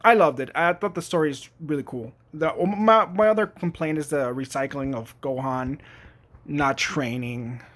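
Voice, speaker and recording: Chatty upbeat man with a higher voice. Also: moderate pace, a bit bright. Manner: rambling, frequent fillers and restarts; enthusiastic, blunt opinions. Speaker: YouTube reviewer. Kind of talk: casual spoken review. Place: at home at his computer.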